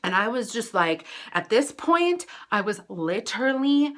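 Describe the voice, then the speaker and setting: An American woman vlogger telling a personal story casually, in a chatty, animated way with dramatic emphasis. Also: fairly high pitch, measured pace.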